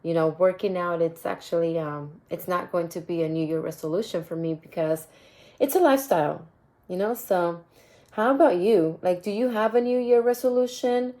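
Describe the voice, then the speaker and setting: Woman, raspy voice, low-pitched, casual podcast host, repeats phrases. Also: moderate pace, warm tone. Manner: upbeat, chatty, repeats phrases, laughs often. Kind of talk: casual solo podcast chat.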